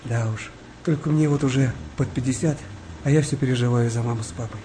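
An actor playing a kind, weary wartime sailor nearing fifty in a radio play, speaking gently and reflectively with a wry touch. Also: male voice, mid-range voice, fairly quick, noisy background, lightly acted. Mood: gentle, wry. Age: middle-aged. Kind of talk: naturalistic radio play dialogue.

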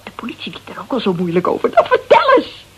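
A woman's voice: a little high, fast, noisy background. A woman playing a brash, bored, flippant housewife in a Dutch radio drama, slangy and gossipy with a Netherlands accent. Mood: amused excitement. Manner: slangy, gossipy, theatrically expressive.

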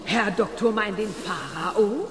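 An actress playing a small hunchbacked lab assistant in a German radio play, servile and obsequious, echoing the master. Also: female voice, medium pitch, animated, takes it slowly, a little hiss or hum. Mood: fawning. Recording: roomy echo.

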